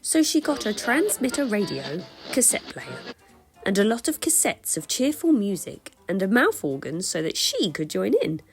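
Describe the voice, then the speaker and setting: A woman reading a children's picture book aloud in an expressive storytelling style. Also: mid-range voice, moderate pace, faint music behind.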